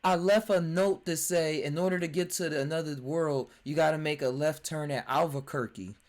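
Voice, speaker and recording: An excitable man in his late twenties tells rambling stories on a podcast, often laughing and cutting himself off to start a sentence over. His voice sits a little high for a man. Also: moderate pace. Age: 20s. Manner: excitable storyteller, laughs often, restarts mid-thought. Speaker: podcast host. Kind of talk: casual chat. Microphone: remote voice chat.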